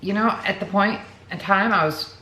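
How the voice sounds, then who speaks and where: A woman's voice: mid-range voice, moderate pace, sharp tone. Chatty woman in her forties, echoey room. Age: 40s. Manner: chatty, rambling, many fillers and asides. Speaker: vlogger. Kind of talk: casual chat to camera. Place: home room. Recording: echoey room with background noise.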